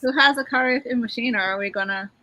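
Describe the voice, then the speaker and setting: Thirties woman on a drawing stream, asks lots of questions, giggly, really bright sharp voice. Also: fairly high pitch, fast, a little hiss or hum. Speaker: guest artist. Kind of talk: casual chat while drawing.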